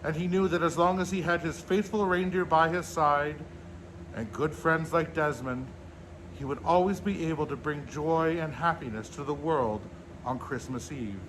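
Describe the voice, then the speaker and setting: A man with a slightly high voice reads a children's story aloud as the storyteller. His delivery is measured and expressive, and there is noticeable noise behind him. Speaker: storyteller in a Santa persona, host.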